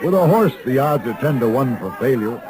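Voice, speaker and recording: Mid-pitched man acting as a gentleman gunfighter, American, dry wit, polished diction, sardonic, 1950s radio western, crowd murmur. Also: expressive, lightly acted, low-quality recording. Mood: cool, dry. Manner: articulate, dry, superior, measured wit. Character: urbane, sardonic hired gunfighter, a grown man. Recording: old radio recording, crowd murmur behind.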